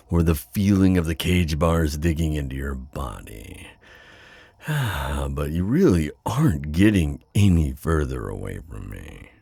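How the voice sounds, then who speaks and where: A male actor voices a predatory, flirtatious villain for an intimate ASMR roleplay. His voice is extremely low and husky, recorded dry and close. He taunts slowly, with big swings in intonation. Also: a little mellow, dry recording, full character voice. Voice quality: very deep, gravelly, breathy. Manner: slow, taunting, smooth-talking with drawn-out pauses. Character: teasing, predatory male buyer at a supernatural auction.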